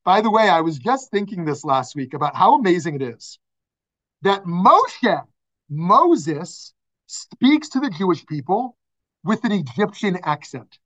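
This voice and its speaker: A man's voice: high-pitched, sing-song, a little slow, a bit bright. A man, a rabbi, teaching a class over a video call, talking conversationally, putting questions to the group and laughing often. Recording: dull lo-fi video call audio.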